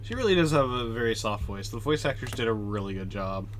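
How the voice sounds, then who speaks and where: A man's voice: on the low side, lively intonation, quick pace, slight background noise. A man livestreaming a game, giving casual, chatty, joking commentary as he plays. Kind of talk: casual live commentary on gameplay.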